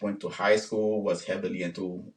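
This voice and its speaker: A man in his forties with a Haitian accent, answering interview questions about his engineering career in an unhurried way, with many pauses and fillers. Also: deep voice, little pitch movement. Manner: unhurried, many "um"s and repeated words, short laughs. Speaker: guest, engineer and business owner. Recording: roomy sound, mic at a distance.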